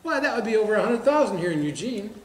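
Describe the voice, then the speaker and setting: A man's voice: sits high, big pitch swings, quick pace. A man playing a gullible, lovestruck, stubborn bar regular in a stage comedy, talking in a folksy, earnest, defensive way. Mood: defensive, hopeful. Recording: echoey room with background noise.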